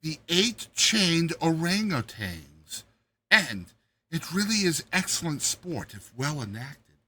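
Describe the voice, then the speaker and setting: A man voicing a crippled dwarf court jester, a cunning schemer who speaks in a measured, coaxing way in a dramatized story reading. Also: on the high side, very animated, sharp tone, husky, exaggerated voice. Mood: sly, coaxing.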